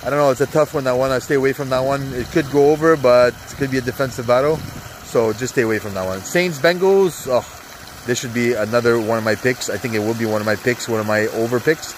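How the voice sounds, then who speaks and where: A man's voice: medium pitch, quick pace, slight background noise, poor audio. A congested man with a sore throat, casually rambling through his weekly football picks with lots of fillers, talking from an outdoor hot tub. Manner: rambling, many fillers, hyped asides. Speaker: sports betting vlogger. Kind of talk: casual NFL picks rundown.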